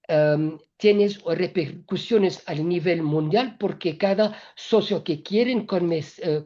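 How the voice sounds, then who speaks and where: A woman speaking Spanish as a second language at a trade panel, halting with frequent filler sounds, restarts and small grammar slips. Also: fairly low pitch, warm tone, highs cut off. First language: not Spanish. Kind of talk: hesitant panel talk on trade policy.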